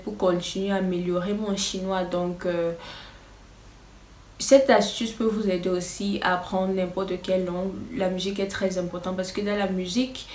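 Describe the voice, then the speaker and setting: A nervous, hesitant woman whose first language is English, teaching a casual lesson full of fillers. Her mid-pitched voice sounds a bit distant from the mic, with noticeable room echo. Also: a little mellow, slight background noise. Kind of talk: casual vlog-style lesson.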